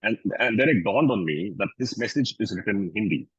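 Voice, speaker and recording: A man's voice: fairly low pitch, expressive, fairly quick, a bit bright, slightly rough, no echo, highs cut off. A man sharing a workplace anecdote at a club meeting, then inviting others to share their own stories. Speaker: meeting facilitator.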